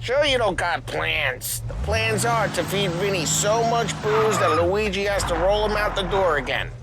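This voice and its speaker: A man's voice: high-pitched, lively intonation, moderate pace. An actor playing a cocky, easygoing mob henchman, clipped and wry, in a video game. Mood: easygoing, teasing. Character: cocky, easygoing street-bred male mobster, seasoned henchman. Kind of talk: cinematic video game voice acting. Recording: clear car engine noise behind.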